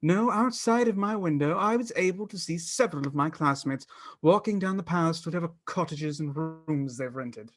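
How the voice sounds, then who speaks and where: A studious young gentleman played by a man in a radio play. He speaks formally and courteously, with an earnest, politely stubborn air. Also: fairly high pitch, lively intonation, normal speed, faint music behind. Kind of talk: stage radio play acting.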